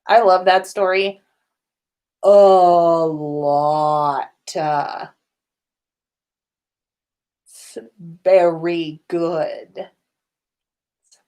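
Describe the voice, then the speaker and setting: A woman hosting a casual story reading, expressive and given to drawn-out emphasis as she narrates and comments. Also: lowish voice. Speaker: podcast host reading listener-written fan fiction aloud. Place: own room at home.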